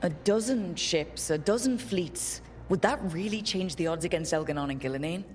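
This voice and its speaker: A woman's voice: fairly low pitch, lively intonation, normal speed, a little hiss or hum, natural voice. A woman playing a resolute, plain-spoken young team leader in a video game. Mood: determined. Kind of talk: video game dialogue acting.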